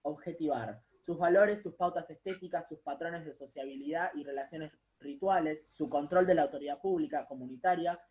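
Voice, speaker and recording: A man's voice: on the high side, moderate pace, few pauses, darkish tone, lo-fi sound. A young man with an Argentine accent, a student speaking up in a class discussion. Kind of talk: class discussion, partly reading aloud.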